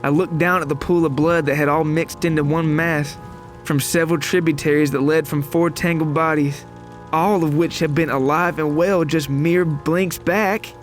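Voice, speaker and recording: A man's voice: fairly high pitch, steady tone, moderate pace, soft background music. A man narrating a scripted first-person horror story in a dramatic, solemn, suspenseful manner.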